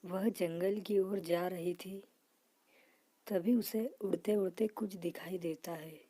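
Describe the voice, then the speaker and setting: A woman's voice: lowish voice, fairly even intonation, slow pace, mellow, no room sound. A woman narrating a children's story as the storyteller.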